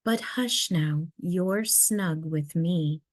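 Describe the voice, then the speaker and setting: A woman voicing a gentle, reassuring mother polar bear from a storybook, soothing and unhurried. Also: on the low side, no room sound. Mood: hushed, soothing.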